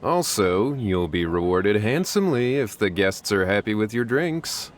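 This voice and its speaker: An actor playing a polite, welcoming event organizer with a courteous, formal manner in a video game. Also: male voice, on the low side, sing-song, a little slow, background noise.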